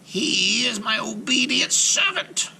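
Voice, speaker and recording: A man voicing a bossy, menacing old witch in a storybook, drawn-out and commanding, shifting between harsh whispers and shrieks. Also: high voice, very animated, vocal fry, pushed and bright, a little hiss or hum, pitched above the performer's own voice, full character voice. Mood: proud.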